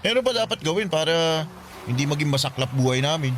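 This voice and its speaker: A man's voice: a little high, expressive, quick pace, background noise, natural voice. An actor playing a mild, weary, earnest young man in a Filipino radio drama. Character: weary, mild-tempered young man, caring poor friend.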